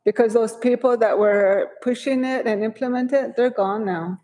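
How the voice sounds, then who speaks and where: Woman with a mellow voice in a reverberant hall. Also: fairly high pitch, normal speed. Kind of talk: council meeting discussion. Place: large council chamber with flags.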